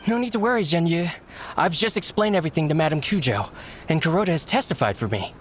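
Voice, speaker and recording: A man's voice: a little high, animated, fast, a little hiss or hum, lightly acted. A man voicing an earnest young male book editor who speaks sincerely and apologetically. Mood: reassuring.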